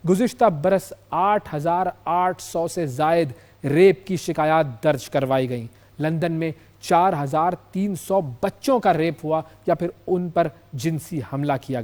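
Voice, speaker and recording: A man's voice: on the high side, darkish tone. A man with a Pakistani accent hosting a news show, explaining stories with emphasis and repeating key phrases. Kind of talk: news presentation with explanatory commentary.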